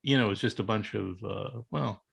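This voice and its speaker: A man's voice: on the low side, moderate pace, gravelly, fairly bright tone, slight background noise. Middle-aged man asking rambling questions. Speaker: interview host. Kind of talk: casual interview.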